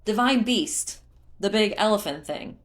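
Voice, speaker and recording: A woman's voice: medium pitch, animated, a little slow, voice pushed up, natural voice. A woman voicing a cheerful, chatty male travelling merchant with upbeat sales patter in a story reading.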